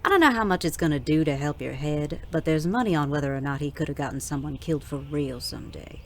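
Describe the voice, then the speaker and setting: A woman's voice: deep voice, quick pace, slight background noise, natural voice. A woman playing a tough, teasing Wild West saloonkeeper in an audio drama, with folksy slang and a dry manner. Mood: gruff concern. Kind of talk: audio drama western dialogue.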